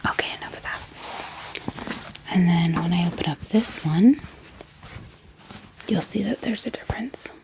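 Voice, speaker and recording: Female ASMR host, breathy whisper with creaky edges, intimate and chatty, close mic with faint noise and clicks. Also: on the low side. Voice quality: breathy, mostly unvoiced whisper. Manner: gentle, chatty, informal asides to viewers. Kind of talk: soft-spoken whispered ASMR.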